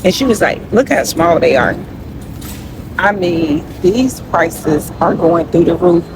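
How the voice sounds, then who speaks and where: A woman's voice: on the low side, animated, a little slow. A woman talking casually to her followers in an emphatic, folksy way, as if showing them around. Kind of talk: casual complaint vlog to followers. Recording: noisy ambient background.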